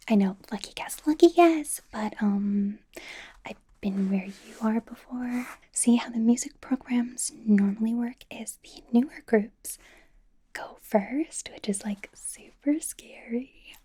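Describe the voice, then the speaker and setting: A woman's voice: on the high side, slow pace, mellow, husky, heavily acted. A woman playing a bubbly, encouraging young K-pop idol in an ASMR personal-attention roleplay, whispering breathily in a rambling, giggly, reassuring way. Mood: encouraging, playful. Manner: rambling, giggly, reassuring, casual youthful phrasing.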